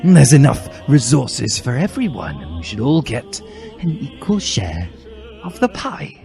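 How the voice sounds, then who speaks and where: Guy doing a smug teen revolutionary, preachy rant, pitched up and swooping, music behind. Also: very animated, unhurried, vocal fry, dark tone. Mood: fervent ranting. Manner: ranting, preachy, foul-mouthed emphasis. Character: fervent, smug teenage boy revolutionary preaching to friends.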